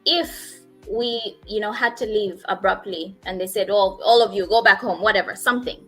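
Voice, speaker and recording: A woman co-host talks earnestly, her voice bright and crisp. She pauses and hedges as she thinks aloud. Faint music plays behind her. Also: mid-range voice, poor audio. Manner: thoughtful, earnest, hesitant with fillers. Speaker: talk show co-host. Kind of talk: casual talk show chat.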